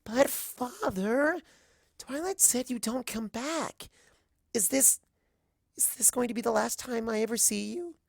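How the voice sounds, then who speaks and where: Man voicing a high-pitched, defiant little filly. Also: animated, unhurried, gravelly, no room sound, full character voice. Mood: tearful pleading. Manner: pleading, indignant. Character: young filly pony daughter, hurt and defiant.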